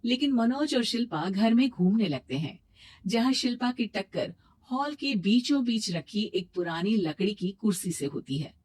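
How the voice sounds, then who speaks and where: A woman's voice: fairly high pitch, animated, normal speed, a little mellow, slightly rough. A woman reading scripted story narration as a storyteller.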